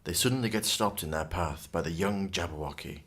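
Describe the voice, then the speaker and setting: Male actor as storybook narrator for dark fantasy radio play, lowish pitch, scripted delivery. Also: brisk. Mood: narrating.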